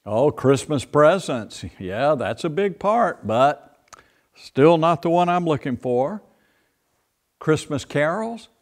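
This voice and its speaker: An older man in his sixties, a pastor who talks slowly and playfully to a group of children. His pitch rises and falls a lot as he explains things. He is recorded by a camera across a church sanctuary. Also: animated. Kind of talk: children's sermon. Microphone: far camera.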